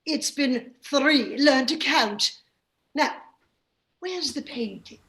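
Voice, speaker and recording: Imperious, snooty English lady, high and unhurried, comic radio play, roomy sound. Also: a bit bright, full character voice. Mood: scornful. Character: haughty, scornful English aristocratic lady art snob.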